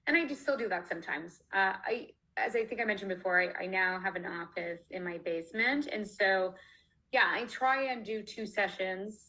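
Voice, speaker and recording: A woman's voice: medium pitch, moderate pace, pushed and bright, poor audio. A woman author from Canada chatting casually in an interview over a video call, laughing often and restarting her sentences. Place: home, online video call.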